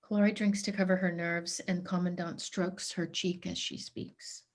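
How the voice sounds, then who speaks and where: A woman's voice: lowish voice, flat delivery, normal speed. A woman reading stage directions as scripted narration for a play.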